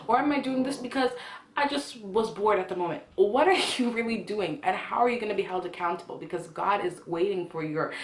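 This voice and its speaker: A woman's voice: on the low side, a little hiss or hum. A young woman from Ghana giving a casual, earnest devotional talk to viewers in a conversational way. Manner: earnest, conversational, poses questions to listeners. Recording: echoey room.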